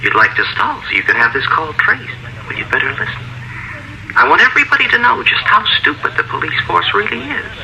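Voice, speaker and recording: A gloating madman sneers down a telephone line in a vintage radio crime drama. His voice is high and very sharp, swinging up and down theatrically, with faint noise on the line. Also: very animated, fairly quick, gravelly, heavily acted. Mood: taunting menace. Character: taunting serial killer, gloating and unhinged, phoning police. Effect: telephone filter. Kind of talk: 1940s-50s radio drama acting.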